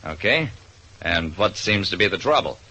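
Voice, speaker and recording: A man's voice: deep voice, big pitch swings, normal speed, sharp tone, exaggerated voice. An actor playing a wry private detective who narrates his case in 1940s radio drama style. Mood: brisk, wry. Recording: noisy vintage radio recording.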